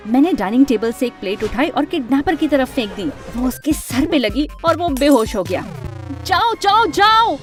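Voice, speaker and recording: A woman narrating a scripted first-person animated story in a dramatic storytelling manner. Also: high-pitched, brisk, hardly any pauses. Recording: music under the voice.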